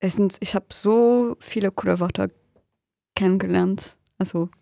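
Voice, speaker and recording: A woman's voice: mid-range voice, steady tone, normal speed, creaky, dark tone, no echo. A young woman with a French accent, a news reader at a radio studio who speaks German as a learned language, reading the news and then chatting hesitantly. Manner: hesitant, searching for words, laughs easily.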